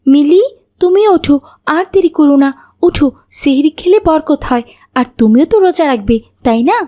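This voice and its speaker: A woman's voice: high voice, lively intonation, normal speed, mellow. A woman voicing a dutiful, caring elder daughter in an Islamic cartoon, speaking in an earnest, instructing way. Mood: urging. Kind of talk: cartoon voice acting.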